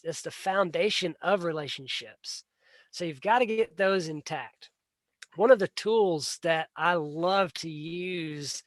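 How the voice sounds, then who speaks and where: Man, livestream host, high-ish voice, dry close sound, earnest and plain-spoken, stumbles and repeats words. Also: a bit bright, dry recording. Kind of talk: casual solo livestream talk.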